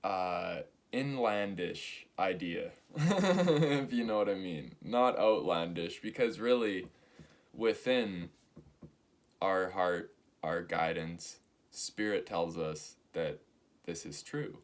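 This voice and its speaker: A man in his early twenties giving a spiritual talk, slow and halting, with many pauses and fillers. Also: low-pitched, expressive. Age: 20s. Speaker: spiritual teacher.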